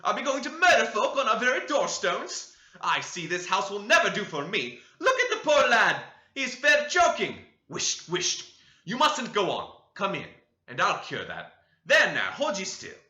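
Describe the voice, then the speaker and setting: A male reader voicing a stout middle-aged housewife who fusses and scolds in a loud, high voice. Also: wildly swooping pitch, moderate pace, exaggerated voice. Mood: scolding. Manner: loud, high-pitched fussing. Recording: dull, roomy home recording.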